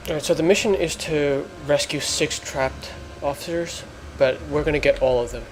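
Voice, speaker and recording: A man giving halting, explanatory let's play commentary as host of a gaming video. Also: fairly high pitch, slight background noise, poor audio.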